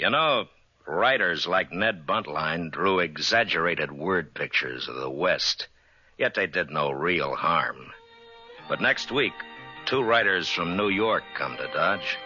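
An actor playing a grave, world-weary man of the Old West in a radio drama, with a gruff voice. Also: deep voice, exaggerated voice. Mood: reflective. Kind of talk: 1950s radio western acting. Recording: muffled old radio recording.

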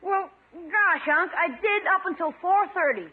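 Cheeky high-pitched radio boy. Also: animated, measured pace. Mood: cheeky, skeptical. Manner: wisecracking, teasing, slangy. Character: cheeky, skeptical young nephew, a boy. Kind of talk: 1950s radio sitcom acting. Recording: muffled, noisy old radio recording, audience behind.

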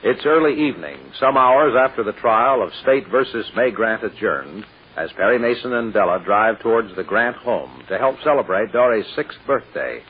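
A male announcer narrates radio drama in 1940s style. His low voice moves expressively through measured, scripted scene-setting with deliberate pauses. The old recording is muffled. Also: lively intonation, slightly rough.

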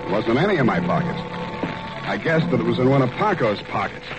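An actor playing a wry, hardboiled private detective in an old radio drama, with dry, clipped, sardonic delivery. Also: male voice, mid-range voice, very animated, quick pace, close to an everyday voice. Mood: wry narrating. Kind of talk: 1940s radio detective drama with first-person narration. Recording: muffled old radio recording with music.

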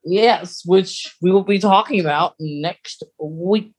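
A woman's voice: on the low side, slow, breathy edge. Playful woman co-hosting a casual podcast. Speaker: podcast co-host. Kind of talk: casual chat about a radio drama.

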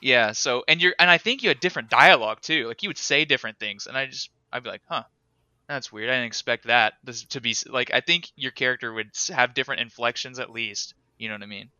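Bright crisp guy rambling on a podcast, dry close sound, kinda dull. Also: medium pitch, fast talker, dry recording. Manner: rambling, animated, laughs often. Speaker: podcast co-host. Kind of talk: casual chat.

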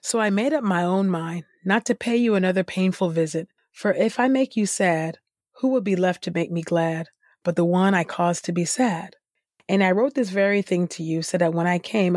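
A woman reading scripture and praying aloud in an earnest, reverent, deliberate way. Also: lowish voice, a little mellow, no room sound, clean studio sound. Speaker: Bible reading podcast host. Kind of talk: scripture reading and spoken prayer.

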